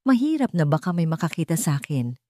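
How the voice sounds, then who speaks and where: A woman's voice: low voice, very animated, fast, dark tone, dry recording, clean studio sound. A woman with a Filipino accent voicing a nervous, hesitant younger female cousin and deferential accomplice in an audio story. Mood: nervous, apologetic. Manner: hesitant, anxious.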